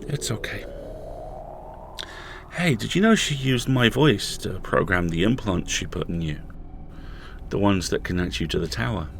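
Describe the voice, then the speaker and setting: A man's voice: on the low side, animated, measured pace, vocal fry, pauses often, a bit bright, a little hiss or hum, full character voice. A man playing an imprisoned podcast host in an audio drama, intense and raging, pleading with his colleagues. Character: imprisoned male podcast host, furious and desperate.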